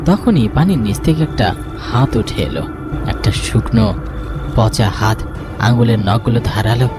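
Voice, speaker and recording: A man's voice: on the low side, lively intonation, a little slow. Male ghost-story narrator over music. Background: background music.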